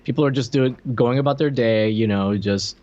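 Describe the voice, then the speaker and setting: A man co-hosting a podcast, casually explaining details of a TV episode in a halting, explanatory way. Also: medium pitch, darkish tone, dull audio. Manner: halting, explanatory, with quoted asides. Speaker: podcast co-host.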